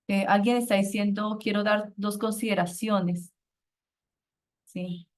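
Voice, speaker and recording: A Colombian woman professor leading a class discussion in a voice of middle pitch. She speaks with animation, often breaks off to restart, and keeps checking in with her listeners through quick rhetorical tags. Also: steady tone. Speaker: university professor.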